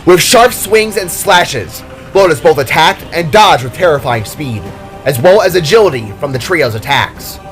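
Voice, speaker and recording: A man's voice: fairly high pitch, expressive, normal speed, fairly bright tone. A man reading scripted narration for an action story in a dramatic storyteller's style. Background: music.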